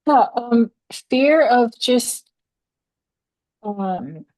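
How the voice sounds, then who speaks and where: A woman's voice: a little high, lively intonation, extremely slow, darkish tone, low-quality recording. A woman speaking as a guest on a podcast interview, answering in a tentative, hesitant way with many fillers. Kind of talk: conversational interview.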